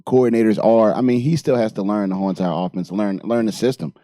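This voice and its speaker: Low mellow guy rambling on sports radio, slangy, dry studio. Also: steady tone, quick pace, dry recording. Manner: loose, slangy, full of fillers. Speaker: radio show co-host. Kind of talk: casual sports talk.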